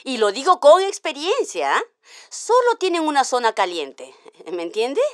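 High, animated, cynical female caller. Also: a little slow, no room sound, clean studio sound, exaggerated voice. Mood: knowing, sceptical. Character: cynical, knowing woman calling radio advice show.

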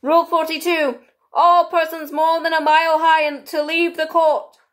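A woman's voice: very high voice, little pitch movement, normal speed, a bit bright, pitched above the performer's own voice. A woman voicing a pompous, anxious, blustering king in a storybook, proclaiming emphatically.

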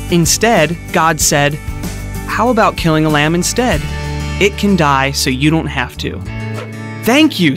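A man giving a scripted, upbeat storytelling narration for an animated children's Bible video. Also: on the high side. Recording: studio voice-over with music underneath.

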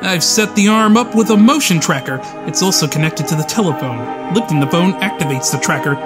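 A man's voice: high-pitched, animated, fairly quick. A man playing a despairing, unstable young killer in a dramatic comic dub, brooding and intense. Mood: weary, bitter. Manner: brooding monologue, intense outbursts. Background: music under the voice.

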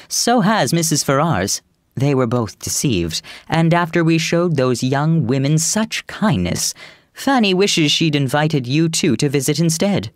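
A woman voicing a stiff, self-important middle-aged gentleman who speaks in a grave, pompous manner in a storybook reading. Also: sits low, dry recording, clean studio sound, pitched below the performer's own voice.

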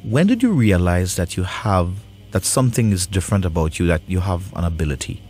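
A man with a low-pitched voice hosts a radio interview. His phrasing is conversational and halting, feeling its way from point to point. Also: animated, darkish tone, faint music behind. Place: radio studio.

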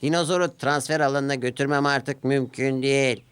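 A man's voice: medium pitch, fairly even intonation, fairly quick, fairly bright tone, a little hiss or hum, pitched above the performer's own voice, close to an everyday voice. A man voicing an eager, earnest little male steam locomotive in a children's cartoon. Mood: dismayed. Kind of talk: children's cartoon character dialogue.

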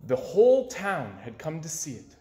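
A man's voice: on the high side, very animated, normal speed, warm tone. A man, reading a children's story aloud with dramatic emphasis. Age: 20s. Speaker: storybook reader. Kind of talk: expressive children's read-aloud narration. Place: small indoor room with bookshelves. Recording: roomy indoor echo.

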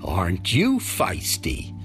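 An actor playing a cocky, menacing gang leader in an audio drama, taunting and drawling. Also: male voice, low-pitched, huge pitch swings, slow pace, fairly bright tone, faint music behind, heavily acted. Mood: taunting menace.

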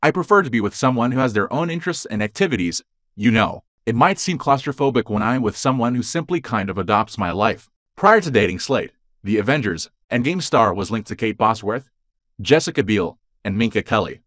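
A man reading a scripted celebrity voice-over in an even, read-aloud delivery. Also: mid-range voice, brisk, dry recording, studio quality. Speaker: narrator.

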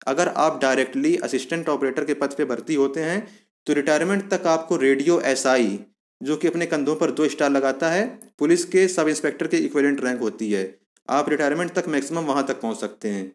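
A man's voice: fairly high pitch, a little fast. A man giving an informal explainer voice-over, going step by step and repeating key points as he addresses viewers as friends. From India. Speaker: YouTube channel host explaining a police job.

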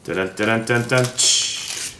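American man, middle-aged, low-pitched, casual commentary, playful asides, faint background noise. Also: steady tone, slow. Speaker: vlogger opening vintage sports card packs. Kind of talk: casual running commentary, reading off names. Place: indoors at a wooden table in a room. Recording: roomy echo, background hiss, handling clicks.

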